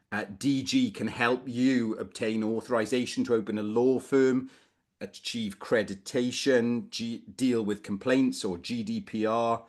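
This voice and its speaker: A middle-aged man from Wales giving a conversational legal lecture over a video call, informal with bursts of emphasis. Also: mid-range voice. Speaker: barrister presenting a legal webinar. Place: small room indoors, video call.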